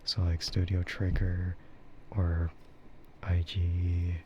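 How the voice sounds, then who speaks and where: A soft-spoken man chatting casually and haltingly in a laid-back ASMR livestream style. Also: sits low, completely flat delivery. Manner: chuckles often while talking. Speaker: VTuber livestreamer. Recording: muffled audio with clear background noise.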